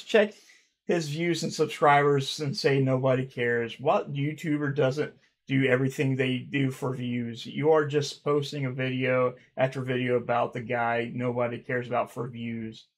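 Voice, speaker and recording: Gravelly-voiced man rambling through comments. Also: medium pitch, moderate pace, slight background noise. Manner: rambling, swears, repeats himself, admits he stutters. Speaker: YouTuber reading fan comments. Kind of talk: casual reaction commentary. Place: dark room at home.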